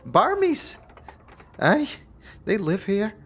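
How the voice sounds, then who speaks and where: A man's voice: high-pitched, huge pitch swings, very slow, fairly bright tone, slightly rough, voice pushed up, exaggerated voice. A man voicing a cagey, scrappy young female thief with snappy underworld slang in a fantasy audio drama. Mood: wry.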